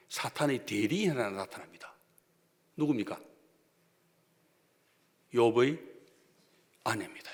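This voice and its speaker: A male pastor with a Gyeongsang accent giving an emphatic sermon, swinging between loud declarations and hushed asides. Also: medium pitch. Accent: Gyeongsang Korean. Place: church sanctuary. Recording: long church hall reverb.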